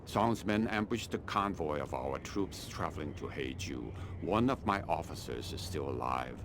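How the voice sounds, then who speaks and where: An actor playing a stern, calculating Chinese army colonel in a video game, speaking in a formal, deliberate, haughty manner. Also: male voice, a bass voice, lively intonation, noisy background. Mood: measured, imperious. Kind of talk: scripted video game dialogue.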